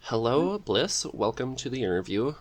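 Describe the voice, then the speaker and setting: A man's voice: medium pitch, big pitch swings, normal speed. A man hosting a podcast, interviewing his guest in a casual, chatty way.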